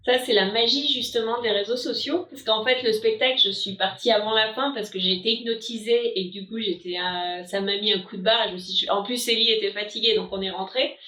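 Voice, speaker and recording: A woman's voice: mid-range voice, fairly even intonation, brisk, hardly any pauses, pushed and bright, echoey room, slight background noise, low-quality recording. A woman, an artist hosting her live stream, chatting casually with frequent fillers.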